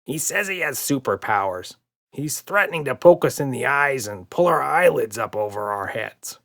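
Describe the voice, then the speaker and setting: A man's voice: medium pitch, animated, normal speed, vocal fry, a bit bright, voice pushed up. A man voicing a skeptical, sarcastic monster sidekick in a children's audiobook, with a dry delivery. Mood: glum. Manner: snickering, mumbling, dry.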